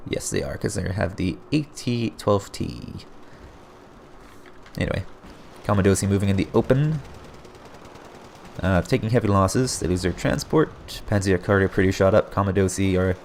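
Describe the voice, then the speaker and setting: A low, gravelly man with a hoarse edge calls a game replay play by play. He keeps jumping in with surprised exclamations. A faint hiss sits under his voice. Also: normal speed. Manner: excitable, frequent surprised exclamations. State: hoarse, voice getting raspy. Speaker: game caster.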